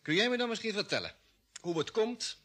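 An actor playing a blunt, sceptical spaceship radio operator with colloquial speech in a vintage radio drama. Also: male voice, high-pitched, big pitch swings, a little fast, fairly bright tone, exaggerated voice.

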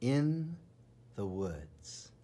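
Husky, animated man reading to children. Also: mid-range voice. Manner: enthusiastic, theatrical, dramatic emphasis and pauses. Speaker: storybook reader. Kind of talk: children's read-aloud narration.

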